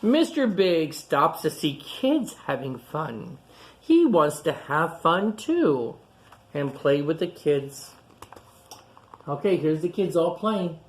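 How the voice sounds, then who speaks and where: A man's voice: a little high, big pitch swings, a little hiss or hum. A man hosting a storytime, reading a picture book aloud to children and stopping to comment on the pictures in simple, repetitive phrases. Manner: pauses to point out and comment on pictures. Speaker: storytime reader and host.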